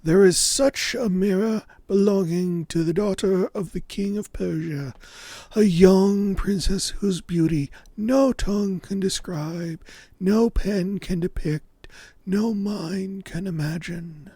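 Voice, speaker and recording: A man voicing a proud, doting merchant father in a fairy tale, with rough, grand and archaic speech. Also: high voice, slow, dark tone, dry recording, voice pushed up, heavily acted. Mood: grandly assured. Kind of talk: storybook character voice.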